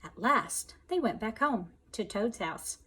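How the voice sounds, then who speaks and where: Slow, very animated woman reading to children. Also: fairly high pitch, breathy edge. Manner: expressive, addresses young listeners directly. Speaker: preschool teacher reading a story to children. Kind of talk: children's storytime read-aloud.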